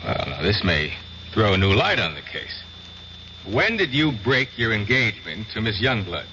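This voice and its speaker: An actor playing a skeptical, exasperated lawyer in a 1970s radio drama, questioning and reasoning firmly. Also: male voice, fairly low pitch, sing-song, moderate pace, pushed and bright. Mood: probing, skeptical. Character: skeptical, exasperated male defense attorney. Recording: muffled, hissy radio drama recording.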